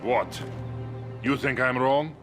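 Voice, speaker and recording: A man's voice: mid-range voice, steady tone, takes it slowly, music in the background, close to an everyday voice. A male actor playing a stern, principled Soviet colonel in a video game, authoritative, clipped and formal. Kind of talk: dramatic video game acting.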